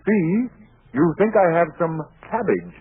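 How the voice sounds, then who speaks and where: An actor playing a pedantic, politely exasperated professor with formal, hesitant speech in a 1940s radio comedy. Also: male voice, fairly high pitch, wildly swooping pitch, unhurried, slightly rough, no echo, full character voice. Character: stuffy, bookish zoology professor, politely exasperated. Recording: muffled 1940s radio recording.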